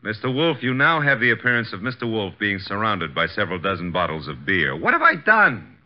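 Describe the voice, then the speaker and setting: An actor playing a wisecracking, loyal American detective's assistant, breezy and slangy, in 1950s radio drama style. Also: male voice, on the low side, sing-song, a little fast. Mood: wry, puzzled. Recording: dull vintage 1950s radio recording.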